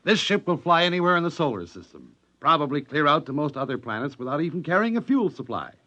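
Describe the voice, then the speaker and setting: An actor playing a confident, wry American rocket pilot with a breezy manner, in 1950s radio drama style. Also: male voice, on the high side, sing-song, fast talker, bright, forceful tone. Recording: dull vintage 1950s radio recording.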